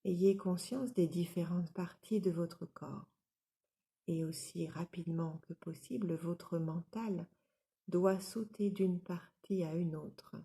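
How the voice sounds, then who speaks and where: A woman leading a guided yoga nidra relaxation as an instructor, giving calm, soothing, measured instructions. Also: on the low side.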